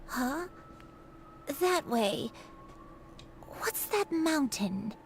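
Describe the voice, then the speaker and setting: Sad gentle game granny, high voice, talks slow n unsure, trailing off. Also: female voice, animated, a little hiss or hum, heavily acted. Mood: wistful. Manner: hesitant, soft-spoken, trailing off. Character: gentle, lost amnesiac granny, wistful and troubled. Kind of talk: video game voice acting.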